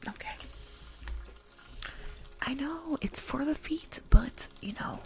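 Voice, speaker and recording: A woman's voice: high-pitched, takes it slowly, a little mellow, faint music behind. A woman in her twenties doing ASMR roleplay close to the microphone, blunt and teasing, often repeating her phrases. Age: 20s. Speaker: ASMR performer. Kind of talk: whispered ASMR roleplay as a rude spa worker. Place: small room indoors at home. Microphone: close desktop condenser microphone.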